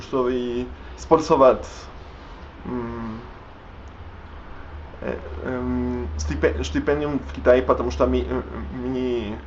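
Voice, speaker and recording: A young man speaks haltingly, breaking off words and starting them over as he searches for them. His voice sits at an ordinary middle pitch. The recording is a little dull and lo-fi, with a faint hiss underneath. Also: flat delivery. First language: Spanish. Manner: halting, many restarts and fillers while searching for words. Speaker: language learner vlogging. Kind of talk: unscripted vlog in a learned language.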